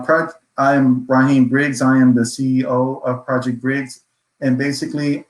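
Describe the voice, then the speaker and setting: Echoey, even-toned man on a panel. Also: medium pitch. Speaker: organization chief executive, panelist. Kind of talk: prepared remarks on a virtual panel. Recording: roomy, echoing sound.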